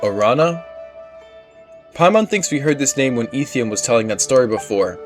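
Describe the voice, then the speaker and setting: A man's voice: mid-range voice, lively intonation, normal speed, soft background music, natural voice. A man voicing a small, cute female fairy companion from a game, curious, anxious and given to exclaiming. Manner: speaks of self in third person, exclaiming.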